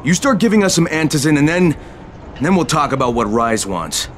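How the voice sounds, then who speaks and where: An actor playing a guarded, blunt young undercover agent with an American accent in a video game cutscene. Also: male voice, a little high, sing-song, brisk, a bit bright. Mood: defiant, angry. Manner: blunt, foul-mouthed, guarded. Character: gruff, defiant undercover male agent, young adult. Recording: faint background noise.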